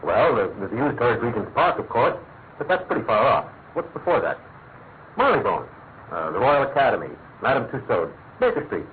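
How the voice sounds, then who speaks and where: Casting: male actor as self-assured spy, quick and animated, courteous, radio play, phone-like recording. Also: mid-range voice, lightly acted. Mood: curious. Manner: cool, polite, self-assured. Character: suave, self-assured male secret agent. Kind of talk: radio drama acting. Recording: tinny phone-like audio with faint noise.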